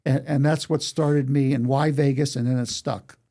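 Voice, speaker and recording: A man's voice: mid-range voice, steady tone, moderate pace, mellow. A man in his eighties telling stories from his life in a conversational interview, quoting remembered exchanges as he goes. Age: 80s. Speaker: interview guest, songwriter and storyteller.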